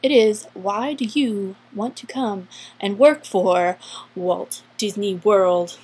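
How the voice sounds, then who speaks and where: A woman's voice: mid-range voice, takes it slowly, darkish tone, husky. A young adult woman giving casual, upbeat advice in a chatty vlog style, stretching words for emphasis. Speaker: vlogger giving interview advice.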